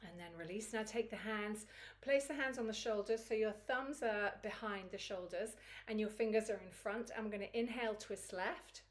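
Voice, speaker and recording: Croaky woman guiding a yoga class. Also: mid-range voice, normal speed. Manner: chatty and encouraging, laughs easily. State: croaky, recovering from lost voice. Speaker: Kundalini yoga teacher. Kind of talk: guided yoga class instruction.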